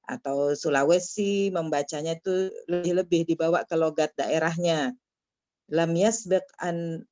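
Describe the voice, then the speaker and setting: A woman teaching Arabic in an online class, correcting a student's reading and drilling pronunciation slowly and firmly. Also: low-pitched, darkish tone, no echo, highs cut off.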